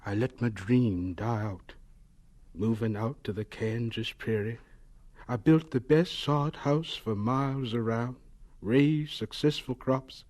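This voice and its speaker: An actor playing an earnest, dreamy young homesteader in a radio drama, with a wistful, folksy, heartfelt way of talking. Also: male voice, mid-range voice, takes it slowly, a little mellow, close to an everyday voice. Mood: somber, reflective. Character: earnest, dreamy young homesteader, later recalling his life.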